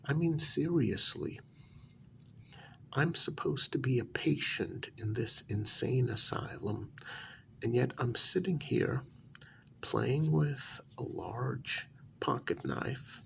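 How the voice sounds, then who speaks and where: A man plays an unhinged, condescending male patient in an asylum ASMR role-play. His soft voice is thick with creaky fry and often sinks to a half-whisper. He talks extremely slowly and haltingly, in a casual, matter-of-fact way, over a faint background noise. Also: mid-range voice, lively intonation, full character voice. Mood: weary, incredulous.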